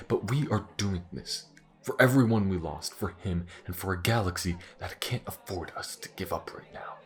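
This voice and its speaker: A man playing a loyal, grieving spaceship smuggler in an audio roleplay, with a halting, earnest, emotional delivery. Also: low voice, natural voice. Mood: grieving, determined. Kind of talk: dramatic audio roleplay acting.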